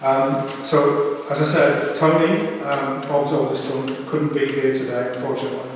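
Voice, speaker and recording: A man giving an informal, hesitant and halting welcome speech as he leads a funeral service. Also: mid-range voice, level tone. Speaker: family member leading a funeral service. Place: crematorium chapel. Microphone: distant camera at the back of the room. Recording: very echoey chapel, distant mic, faint noise.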